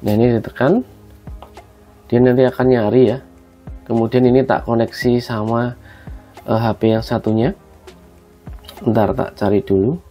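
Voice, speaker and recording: Male tutorial host, Javanese-accented Indonesian, medium pitch, casual explanatory delivery, faint music bed. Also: fairly even intonation, normal speed, long pauses, mellow. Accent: Javanese-region Indonesian. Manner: explanatory, often addressing viewers as friends. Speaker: product reviewer and vlogger. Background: background music.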